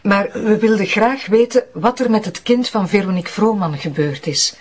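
Actress as husky, earnest woman investigator. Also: medium pitch, moderate pace, natural voice. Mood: earnest, urgent. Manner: polite, urgent, pressing. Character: earnest, pleading investigator questioning a witness. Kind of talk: audio drama acting.

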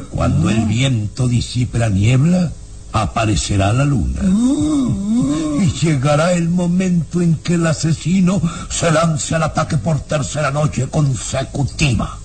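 An actor playing a sinister castle baron in a radio serial, giving commanding, menacing orders slowly and deliberately. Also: male voice, medium pitch, a little mellow. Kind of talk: dramatic radio serial acting.

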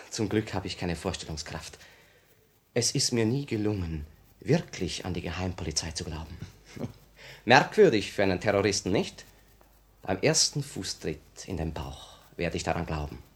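An actor playing a despairing, ashamed young revolutionary in a 1950 radio play, with halting, intense theatrical delivery. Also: male voice, fairly low pitch, darkish tone. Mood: ashamed, bitter. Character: despairing, ashamed young male revolutionary losing his nerve.

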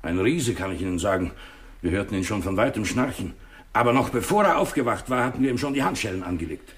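Quick, low-voiced policeman. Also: expressive, a bit bright. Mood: dutiful reporting. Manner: plain-spoken, keen to tell. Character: eager, dutiful male small-town policeman reporting to his superior. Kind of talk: 1960s German radio drama acting.

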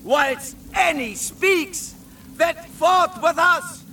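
An actor playing an impassioned English warrior king, declaiming a drawn-out battle speech. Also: very high-pitched, pushed and bright, slight background noise, exaggerated voice. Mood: rousing, defiant. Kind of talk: Shakespearean battle oration. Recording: distant, with rain and thunder.

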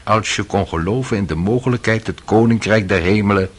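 A man's voice: lowish voice, brisk, breathy edge. An actor playing a cynical, worldly young man in a radio drama, sly and needling in manner. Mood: scornful, needling. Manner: sardonic, insinuating.

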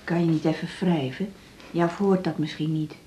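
A woman's voice: sits low, steady tone, moderate pace, dark tone, natural voice. An actress playing a lonely, plain-spoken older woman who is a hospital patient in a radio drama. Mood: plaintive, chatty. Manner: plain-spoken, wheedling, folksy. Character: chatty, lonely, frail but cheeky older female hospital patient. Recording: roomy, echoing radio drama studio.

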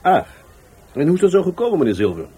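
An actor with a Netherlands Dutch accent playing a blunt, sardonic police adjutant who needles people, in a radio play. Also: male voice, fairly high pitch, huge pitch swings, quick pace, mellow, husky, heavily acted. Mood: wry, probing. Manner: sardonic, provoking.

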